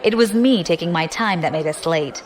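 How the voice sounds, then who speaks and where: A woman's voice: on the low side, normal speed, lightly acted. A woman playing a defiant, ambitious young adventurer in an audio drama, wry and guarded in manner.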